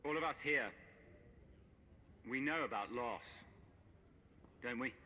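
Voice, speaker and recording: Bright, forceful heroic commander. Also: male voice, mid-range voice, slow, a little hiss or hum. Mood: rousing, earnest. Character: heroic male commander, earnest, resolute. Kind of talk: dramatic game cutscene acting.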